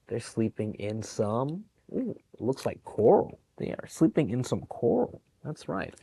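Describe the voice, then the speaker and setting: A man's voice: fairly low pitch, gravelly, husky, low-quality recording. A man reading a picture book aloud to young children, with chatty, encouraging asides about the pictures. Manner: animated, encouraging, explains pictures to young listeners. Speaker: children's storytime reader at a public library.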